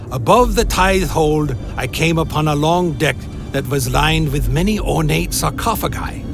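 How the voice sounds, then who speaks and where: A man playing a haunted, wary adventurer who tells his own dark fantasy tale in a radio play, in solemn literary storytelling. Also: a little high. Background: music.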